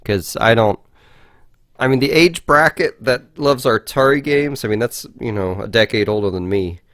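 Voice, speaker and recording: A man's voice: mid-range voice, moderate pace, a bit bright, no echo, clean studio sound. A man co-hosting a podcast in casual chat, rambling and hesitant, with a self-deprecating manner. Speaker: podcast co-host.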